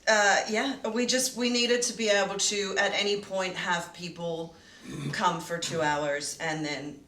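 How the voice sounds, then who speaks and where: A woman's voice: mid-range voice, steady tone, unhurried, a bit bright. A woman co-producer chatting casually behind the scenes, explaining things with plenty of "um"s and restarts. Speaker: co-producer of the radio play. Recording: roomy echo, distant mic, faint noise.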